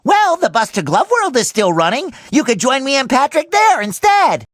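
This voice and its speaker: A man voicing a cheerful, naive young talking sponge in a cartoon video game, with a squeaky, nasal, exaggerated delivery. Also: huge pitch swings, normal speed, a bit bright, dry recording, clean studio sound, exaggerated voice. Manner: exaggerated, earnest, eager delivery.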